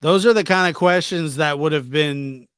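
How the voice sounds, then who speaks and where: A man's voice: fairly high pitch, normal speed, fairly bright tone, clear, resonant tone, no echo, clean studio sound. A man hosting a livestream, chatting casually and reacting to viewer comments in an informal, teasing way, often repeating himself. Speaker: livestream host. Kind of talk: casual live chat commentary, reading and answering viewer comments.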